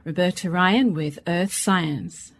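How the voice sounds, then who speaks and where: An actress playing a formal radio continuity announcer giving scripted programme introductions in a radio play. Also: female voice, lowish voice, slow, vocal fry, exaggerated voice. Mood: formal, welcoming.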